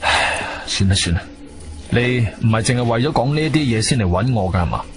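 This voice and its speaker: A man performing a stern, controlled hero narrating a radio play. His pitch moves widely and dramatically, with clear music playing behind him. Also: expressive, fairly quick, close to an everyday voice. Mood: weary, stern. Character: composed, wronged male adventurer narrating his own ordeal. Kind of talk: first-person radio drama narration and dialogue.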